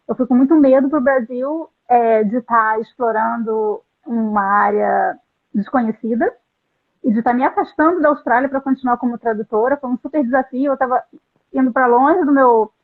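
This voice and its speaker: A middle-aged woman with a somewhat high voice tells her story in an earnest, halting way, often restarting her sentences. The sound is thin and phone-like, as over a video call. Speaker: translator, interview guest. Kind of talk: reflective interview storytelling. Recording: tinny phone-like line.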